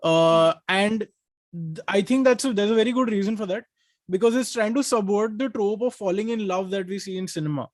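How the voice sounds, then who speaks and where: A man's voice: high voice, a little fast, dry recording, studio quality. A man with an Indian accent in a casual film discussion, enthusiastic and rambling as he works out his thoughts. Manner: enthusiastic, rambling, repeats words as he thinks. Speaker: podcast co-host.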